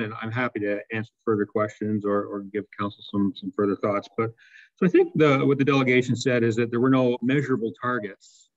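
A man on staff answering council questions on a video call, explaining step by step with frequent restarts and fillers. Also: mid-range voice. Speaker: municipal staff member. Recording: dull lo-fi video call audio.

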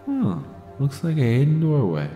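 A man's voice: fairly high pitch, wildly swooping pitch, moderate pace. An actor playing a sinister, bloodthirsty man in an audio drama, sly, teasing and ominous, in an echoey recording. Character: sinister, bloodthirsty male stalker, slyly playful. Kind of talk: audio drama dialogue and narration. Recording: echoey long reverb under loud music.

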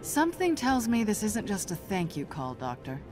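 An actress playing a seasoned spy in a video game, cool, blunt and commanding, with terse delivery. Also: female voice, medium pitch, wildly swooping pitch, normal speed, music in the background. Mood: dry, businesslike. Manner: terse, no-nonsense. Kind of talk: video game cutscene acting.

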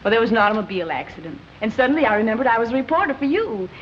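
A woman's voice: a little high, expressive, rapid-fire, close to an everyday voice. An actress playing an eager, naive young woman reporter, breathless and insistent, in old-time screwball drama style. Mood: naive, defensive. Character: scatterbrained, eager young female would-be reporter. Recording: dull, noisy old film soundtrack.

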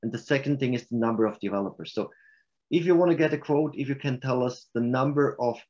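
Swiss German male software presenter, mid-pitched, restarting often, dull web call audio. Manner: explanatory, halting with restarts. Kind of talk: webinar presentation and Q&A.

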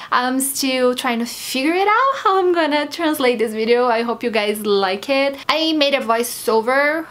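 Young woman w/ brazilian portuguese accent, kinda high voice, chatty diy sewing tutorial, bit halting english. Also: a bit bright. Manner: chatty, slightly halting non-native phrasing. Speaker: sewing channel host.

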